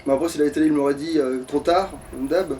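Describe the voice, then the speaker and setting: Man, slightly high voice, casual gaming commentary, foul-mouthed, grumbling, distant mic, background noise. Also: very fast, warm tone. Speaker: gaming streamer. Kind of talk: casual let's play commentary.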